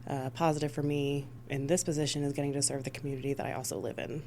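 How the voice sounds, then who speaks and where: A woman's voice: sits low, level tone, fast, warm tone, a little hiss or hum. A woman from the United States giving conversational answers as an emergency dispatch supervisor being interviewed. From United States (Missouri and Rhode Island).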